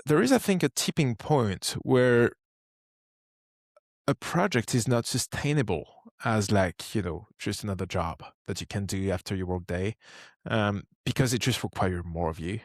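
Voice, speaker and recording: A man in his thirties with a French accent, speaking English as a second language, chatting as an interview guest. He talks in a relaxed way, thinking aloud with frequent restarts. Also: on the low side, normal speed, no echo, studio quality. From France. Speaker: guest, startup co-founder. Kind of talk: casual interview conversation.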